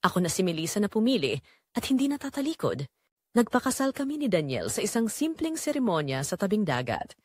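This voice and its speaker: A woman's voice: on the low side, darkish tone, no echo, studio quality. A woman with a Filipino accent narrating a scripted first-person drama in an earnest, emotive way.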